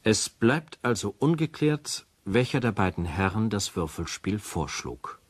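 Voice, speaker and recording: An actor playing a sober investigator who narrates a radio play in a formal, precise, matter-of-fact way. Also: male voice, on the low side, sing-song, a little slow, breathy edge, exaggerated voice. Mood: sober, factual. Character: sober male investigator reporting case evidence.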